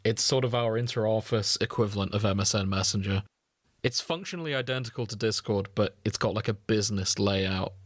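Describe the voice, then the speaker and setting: A man's voice: on the low side, fairly quick, no room sound, clean studio sound. A man co-hosting a casual podcast, chatting in a jokey, irreverent and sweary way. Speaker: podcast co-host. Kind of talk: casual banter.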